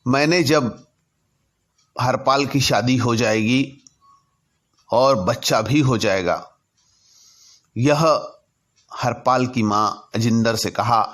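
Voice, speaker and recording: A man narrating a personal story in a deliberate way, in short halting phrases. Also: medium pitch, a bit bright. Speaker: narrator telling his own anecdotes.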